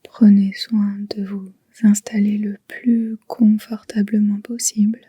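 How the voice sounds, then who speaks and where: A woman leads a guided relaxation in a very breathy, whispery voice. Her delivery is slow and gentle, with long pauses between phrases, and stays on an even, level tone. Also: medium pitch, dry recording. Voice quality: soft, breathy, near-whispered. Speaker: yoga nidra guide.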